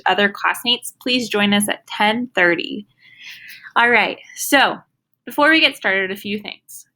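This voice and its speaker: A woman speaking as an elementary teacher, giving young pupils instructions in an encouraging, deliberate and emphatic way. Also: mid-range voice, sharp tone.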